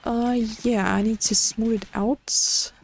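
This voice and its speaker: A woman's voice: fairly high pitch, steady tone, takes it slowly, creaky, a little hiss or hum. A woman from Latvia with a Latvian accent, chatting casually on a live stream while she builds in a game, hesitant and full of filler sounds and restarts.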